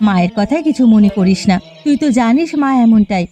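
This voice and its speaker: Sensible village sister-in-law, female actor, coaxing and gently scolding cartoon delivery, faint music behind. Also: medium pitch, lively intonation, brisk, close to an everyday voice. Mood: sympathetic. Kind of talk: Bengali cartoon fairy-tale acting.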